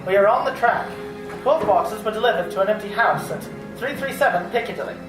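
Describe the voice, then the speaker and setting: A woman's voice: medium pitch, lively intonation, a little fast, close to an everyday voice. Brief: actress as a terse young man, off-mic, echoey live room with music. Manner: terse, matter-of-fact reporting. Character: young male solicitor keeping a journal. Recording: distant in roomy hall with music.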